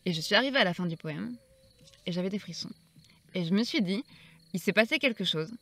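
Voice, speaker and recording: A woman's voice: mid-range voice, lively intonation, fairly quick. A woman hosting a poetry channel, talking reflectively and searching for her words as she goes. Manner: thoughtful, searching for words, soft-spoken.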